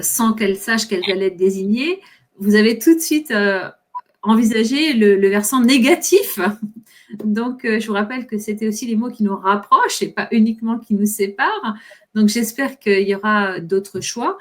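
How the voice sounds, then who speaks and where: A woman moderating an online discussion workshop, politely inviting participants to speak. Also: mid-range voice. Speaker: workshop host.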